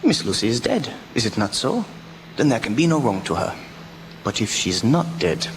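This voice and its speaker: A man's voice: mid-range voice, big pitch swings, normal speed, close to an everyday voice. A man playing a grave, commanding professor who hunts vampires in a radio drama, speaking in solemn oratory with stilted foreign phrasing. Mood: urgent. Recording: noisy amateur local radio recording.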